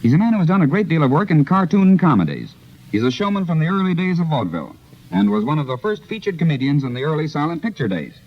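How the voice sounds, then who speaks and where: A man's voice: fairly high pitch, big pitch swings, fast talker. A man speaking as a producer in a scripted 1930s radio promotion, formal and salesman-like with elaborate phrasing. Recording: dull lo-fi 1930s radio transcription.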